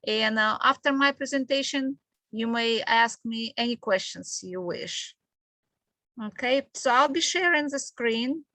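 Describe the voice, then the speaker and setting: A woman from Ukraine with a Ukrainian accent, presenting a webinar in a halting, explanatory way as an admissions manager. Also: a little high, pushed and bright, no echo. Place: online video call with screen sharing.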